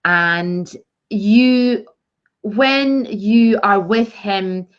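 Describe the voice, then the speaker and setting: A woman speech therapist, interviewing a mother and explaining slowly with many fillers. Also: mid-range voice. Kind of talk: interview chat. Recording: dull lo-fi sound.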